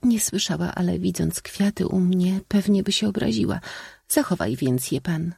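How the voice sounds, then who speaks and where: A woman's voice: on the low side, normal speed, vocal fry, dark tone, husky, no echo, studio quality, pitched above the performer's own voice, exaggerated voice. A man voicing a coy, reserved young princess in an audiobook story. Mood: coy, flustered.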